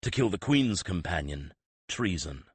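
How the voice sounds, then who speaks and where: A man voicing a stern older male weapons master who rasps harshly with oddly inverted phrasing. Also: low-pitched, big pitch swings, a little slow, no room sound, full character voice. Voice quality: rasping, clipped inverted phrasing.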